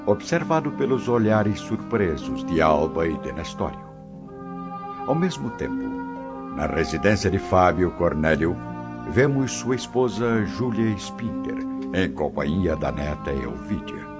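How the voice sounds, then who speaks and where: A man with a deep voice narrates a radio drama. His scripted delivery is dramatic and richly varied in pitch, over music on a dull recording. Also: big pitch swings, unhurried. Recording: dull lo-fi audio, music behind.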